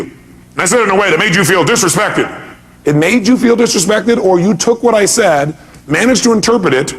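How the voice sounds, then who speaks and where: A man's voice: high voice, a bit bright. A man giving a motivational seminar talk to an audience, speaking emphatically and pressing points with rhetorical questions.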